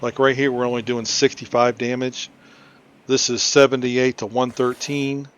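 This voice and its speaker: A man's voice: medium pitch, little pitch movement, normal speed. A man giving casual, explanatory commentary as he hosts a game playthrough. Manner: informal, explanatory. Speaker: gaming video host. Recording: slightly dull audio, faint noise.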